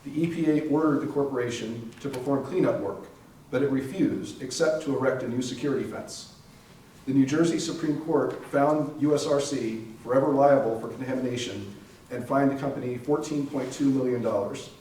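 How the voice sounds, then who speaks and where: A man's voice: mid-range voice, a little fast, mellow. A man narrating from a script in a readers theater performance. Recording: distant mic, very echoey room, faint noise.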